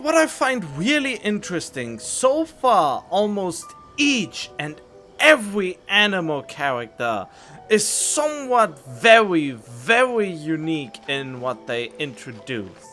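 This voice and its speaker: A male streamer chats casually over game music, thinking out loud. His voice sits a little high and moves up and down a lot, at a relaxed, slightly slow pace. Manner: informal, musing aloud. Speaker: gaming streamer. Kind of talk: casual gameplay commentary. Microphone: studio condenser microphone.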